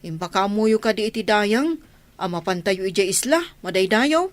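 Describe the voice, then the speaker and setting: A woman's voice: mid-range voice, animated, normal speed, natural voice. A woman playing a respectful female attendant to a chief in an Ilocano radio drama. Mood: deferential.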